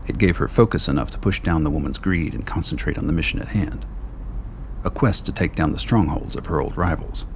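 A man narrating a radio play in a measured, grave way. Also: sits low, vocal fry, a little hiss or hum.

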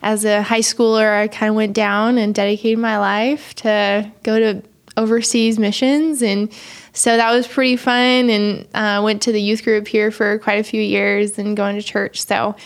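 A woman's voice: mid-range voice, flat delivery, unhurried. A woman in her twenties from Haiti, a missionary guest talking earnestly in a casual interview, rambling with many fillers.

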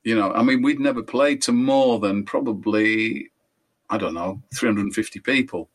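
An older man, a musician being interviewed, telling rambling anecdotes in a relaxed way and correcting himself as he goes. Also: normal speed. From England. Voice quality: high voice. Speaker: musician guest.

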